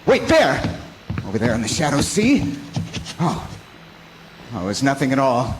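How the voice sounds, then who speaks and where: A man's voice: medium pitch, huge pitch swings, a little slow, a little hiss or hum. A man announcing a radio drama in a theatrical, formal showman's style like old-time radio.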